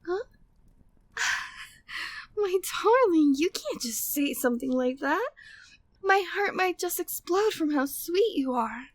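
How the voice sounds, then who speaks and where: High-voiced flirty, devoted maid played by a woman. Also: expressive, takes it slowly, pauses often, heavily acted. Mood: smitten, teasing. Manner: formal, genteel phrasing, breathy sighs, teasing. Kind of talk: intimate ASMR roleplay, scripted monologue.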